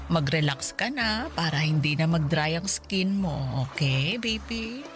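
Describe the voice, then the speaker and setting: A woman's voice: low-pitched, animated, unhurried, faint music behind. An actress playing a doting, indulgent girlfriend in a Tagalog radio drama, babying her lover with soothing, cooing talk. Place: studio recording with music behind.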